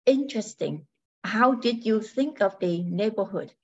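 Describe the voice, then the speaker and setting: A robot wife acted by a woman in a radio drama, unhurried and courteous. She states her need to be human with cool, apologetic logic. The sound is slightly dull and lo-fi. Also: medium pitch, animated, a little mellow, husky. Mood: politely interested. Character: polite robot wife draining brain cells to become human. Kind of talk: radio play acting.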